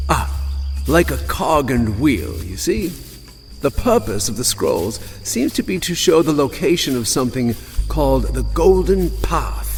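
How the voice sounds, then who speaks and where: A man acts out a scholarly fantasy cleric, musing and halting as he explains. His pitch swings widely in a long, ringing hall reverb, with music underneath. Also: darkish tone. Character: hesitant, bookish male fantasy cleric explaining old scrolls. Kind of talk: audiodrama character dialogue.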